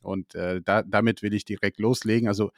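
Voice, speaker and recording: A man's voice: on the low side, fairly even intonation, brisk, no echo, studio quality. A man co-hosting an investing podcast, talking casually with many fillers and reading a passage aloud. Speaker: co-host. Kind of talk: podcast chat.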